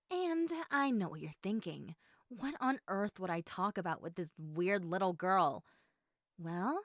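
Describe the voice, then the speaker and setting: A woman's voice: mid-range voice, a bit bright, no echo, clean studio sound. A woman playing a quirky, socially awkward, chatty young woman eager for friends, rambling and self-deprecating straight to the listener in an audio roleplay. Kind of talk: one-sided audio roleplay addressed to the listener.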